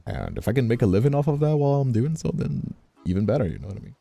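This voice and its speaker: A young man from Brazil chatting casually on a livestream, halting and repeating himself as he checks for agreement. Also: mid-range voice, wildly swooping pitch, vocal fry, mellow. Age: young adult. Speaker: streamer. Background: background music.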